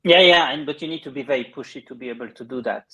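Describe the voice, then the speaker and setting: A Belgian man whose faint accent barely shows in his otherwise smooth English. His voice sits mid-pitch, and he speaks as a candid, upbeat founder sharing lessons in a relaxed interview. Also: quick pace, highs cut off. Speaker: startup founder guest. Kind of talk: casual interview chat.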